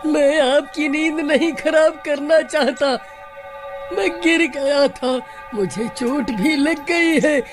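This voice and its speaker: A woman's voice: high-pitched, slow pace, over music. A woman voicing a greedy, cowardly male village farmer in a Hindi cartoon, with a wheedling, exaggerated manner. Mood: pleading, scared.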